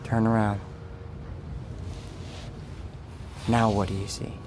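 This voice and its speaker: An actor playing Death as a calm, gentle man in a radio drama, measured, soft-spoken and quietly insistent. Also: lowish voice, soft background music, lightly acted. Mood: quietly commanding. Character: calm, gentle, persuasive Death in a man's form. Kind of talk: dramatic radio play acting.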